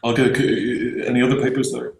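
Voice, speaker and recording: A man's voice: medium pitch, flat delivery, fairly quick, vocal fry. An Irish man hosting a panel, giving brief prompts to the others. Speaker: host. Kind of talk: moderating a panel. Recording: roomy with faint noise.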